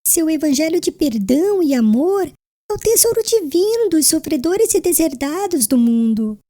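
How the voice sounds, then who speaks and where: A woman playing a gentle, devout young fiancée on her deathbed in an audiobook drama, tender and earnest with formal diction. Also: extremely high pitch, very animated, moderate pace. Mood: serene, devout.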